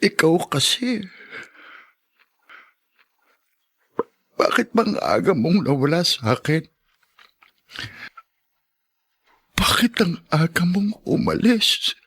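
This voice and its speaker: A man's voice: fairly high pitch, big pitch swings, unhurried, a bit bright, husky, heavily acted. An actor playing a patient, tender seventy-year-old widowed father in a Filipino radio drama. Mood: grieving.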